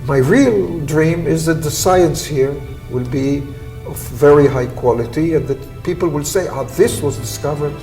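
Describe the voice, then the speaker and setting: A man speaking slowly and reflectively about science and cooperation in a documentary interview. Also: a little high. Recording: roomy sound with music bed.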